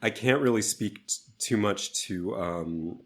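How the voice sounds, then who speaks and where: A man's voice: on the low side, measured pace. A man speaking as an interview guest, choosing his words carefully and hesitantly in a conversational way. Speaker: theatre artist, interview guest.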